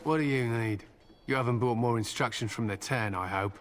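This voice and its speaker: An actor playing a gruff, proud warrior in a fantasy game, speaking curtly and solemnly. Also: male voice, medium pitch, fairly quick, a little hiss or hum, close to an everyday voice. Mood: curt, impatient. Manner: curt, solemn. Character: gruff, proud male war-hound warrior.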